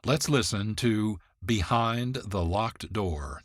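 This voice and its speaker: A man hosting a classic radio show, introducing an episode in a deliberate, presenting manner. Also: on the low side, lively intonation, heavy background noise. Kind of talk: scripted introduction.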